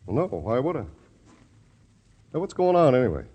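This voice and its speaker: An actor playing a fed-up, defensive local neighbor in a vintage radio drama, talking in a halting, folksy way. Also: male voice, mid-range voice, big pitch swings, dark tone, slightly rough, dull audio. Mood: defensive, indignant.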